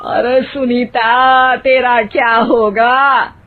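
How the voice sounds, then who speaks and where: Woman playing scolding mother-in-law, cartoon comedy, exclamatory, slightly slow, thin phone-like recording. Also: a little high, level tone. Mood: exasperated. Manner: complaining, exclamatory. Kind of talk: comic cartoon story acting. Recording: muffled phone-like narrow band.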